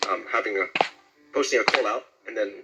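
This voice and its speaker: A man being interviewed as a voice actor, chatting casually and telling stories in an animated way. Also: on the low side, brisk, loud music behind the voice, low-quality recording. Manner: animated storytelling, acting out quoted exchanges.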